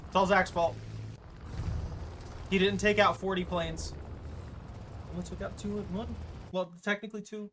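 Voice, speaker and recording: Brief: young male game streamer, teasing banter, bright and fairly high voice. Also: fairly even intonation, moderate pace, pauses often, a little hiss or hum. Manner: playful, teasing, loud exclamations. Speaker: gaming streamer. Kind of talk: live gameplay commentary.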